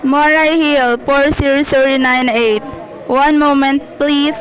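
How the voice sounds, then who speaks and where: High-voiced, formal switchboard operator played by a woman. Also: fairly even intonation, slow pace, low-quality recording. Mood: businesslike. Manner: formal, procedural, polite. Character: polite, formal female telephone switchboard operator. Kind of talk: amateur student radio play acting.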